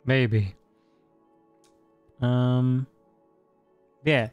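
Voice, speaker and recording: A man's voice: medium pitch, fairly even intonation, extremely slow, clean tone, no echo, lo-fi sound, soft background music. A man wrapping up a tabletop game stream as game master, chatty, laughing often and stumbling over repeated words.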